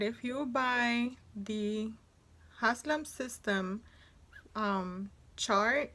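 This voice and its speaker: A woman in her forties, a native Spanish speaker, chatting casually in a rambling vlog about her sewing tools, with many restarts along the way. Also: mid-range voice. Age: 40s. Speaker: sewing vlogger.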